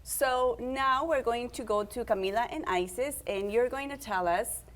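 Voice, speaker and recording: A woman's voice: on the high side, normal speed. A young adult woman hosting a community TV interview, friendly and upbeat, guiding her guests with questions. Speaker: host and program organizer.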